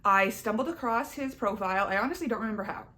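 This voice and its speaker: A woman's voice: mid-range voice, animated, quick pace, bright, forceful tone. Chatty young Canadian woman vlogger. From Southern Ontario, Canada. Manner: chatty, candid, emphatic with repeated words. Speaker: YouTuber. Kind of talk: casual personal update to camera.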